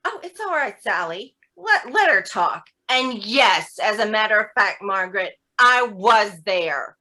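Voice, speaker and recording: Woman playing Jasmine, slow, sharp, sarcastic accuser. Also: mid-range voice, sing-song. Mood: angry, impassioned. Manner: pointed, sarcastic, deliberate. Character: Jasmine, confrontational, sarcastic woman fiercely defending her friend. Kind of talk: staged play reading.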